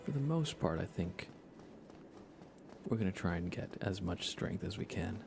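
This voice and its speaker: A man doing relaxed ASMR game commentary, casually rambling and thinking aloud as he plays. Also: low voice, normal speed, gravelly, noisy background. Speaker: livestreamer.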